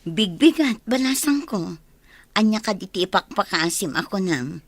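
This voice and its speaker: A woman's voice: medium pitch, animated, moderate pace, no room sound. An actress playing a kindly, sympathetic older village woman in a radio drama. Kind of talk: Ilocano radio drama acting.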